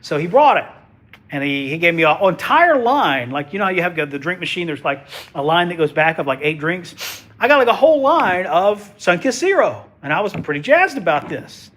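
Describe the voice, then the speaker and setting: A man's voice: high-pitched, very animated, moderate pace, a bit bright. A man, a TV meteorologist chatting folksily through a live weather stream while sniffling with a head cold. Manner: folksy, chatty, joking asides. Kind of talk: casual livestream weather commentary.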